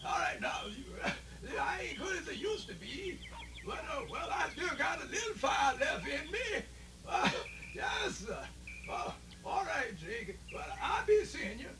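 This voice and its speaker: A man playing a folksy, cheerful old country storyteller, stammering and muttering in a gravelly voice for radio theatre. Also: very high voice, big pitch swings, noisy background, full character voice. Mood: chatty, spirited. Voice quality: creaky, gravelly. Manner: stammering, muttering, drawn-out rustic talk. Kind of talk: radio theatre character acting.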